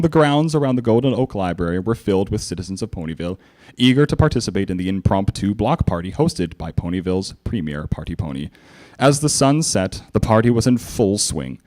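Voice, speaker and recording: Man, live story reader, theatrical narration, very animated pitch. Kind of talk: dramatic reading of fan fiction to an audience.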